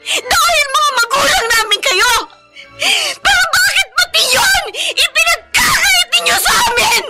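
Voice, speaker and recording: An actress playing a young, hurt but dutiful daughter and caring older sister in a Filipino radio drama, gentle and plaintive. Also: female voice, extremely high pitch, lively intonation, a little slow, faint music behind, heavily acted. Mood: tearful pleading.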